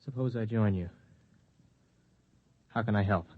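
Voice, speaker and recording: An actor playing a skeptical, independent space pilot in a 1950s radio drama, with a blunt, plain-spoken, hard-boiled delivery. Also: male voice, lowish voice, a little slow, dry recording. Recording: dull lo-fi vintage radio recording.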